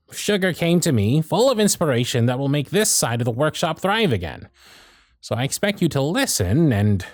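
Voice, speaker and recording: A man's voice: on the high side, no echo, clean studio sound. A man playing a firm yet fond, protective Christmas elf supervisor in a scripted ASMR roleplay, speaking in a bossy, teasing, affectionate way. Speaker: audio roleplay voice actor. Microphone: close, dry studio mic.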